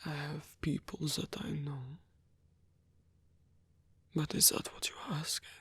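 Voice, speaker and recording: A man voicing a lonely old male vampire in an ASMR roleplay, gentle, rambling and bookish, with a soft, breathy, creaky voice and a halting, musing delivery. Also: fairly high pitch, level tone, full character voice. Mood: wistful, wry. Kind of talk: ASMR roleplay audio addressed to the listener.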